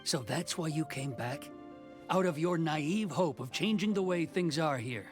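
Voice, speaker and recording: A man's voice: on the high side, expressive, unhurried. An actor playing a stern, weary male commission officer in a video game, with faint music behind. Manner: gruff, clipped, tired. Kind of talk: game voice acting.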